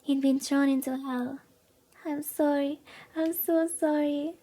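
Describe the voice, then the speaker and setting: A woman's voice: sits high, fairly even intonation, slow pace, warm tone. A woman streamer reading a visual novel aloud in a casual livestream style, informal and given to playfully exasperated commentary.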